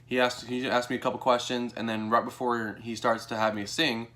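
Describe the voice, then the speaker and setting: A young American man tells a story in a casual vlog style. His voice sits at an average pitch for a man. He is animated and informal, slipping into quoted dialogue as he goes. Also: fairly quick. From Texas, United States. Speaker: vlogger.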